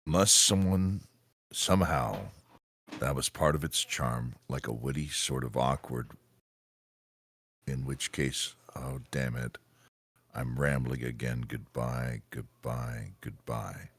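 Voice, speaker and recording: Man playing a very deep, husky executive boss. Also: takes it slowly, fairly bright tone, heavily acted. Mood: flat reading aloud. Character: gruff, self-important male executive agent boss. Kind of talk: live table read of a comedy radio play.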